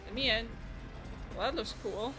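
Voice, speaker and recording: A woman's voice: fairly high pitch, moderate pace, rough recording. A woman game streamer giving casual reaction commentary, chatty and musing as she goes. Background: background game music.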